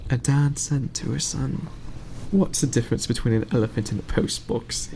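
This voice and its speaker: A man reading aloud in a soft ASMR bedtime style, hushed and often slipping into a whisper. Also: mid-range voice, moderate pace, vocal fry, a little hiss or hum.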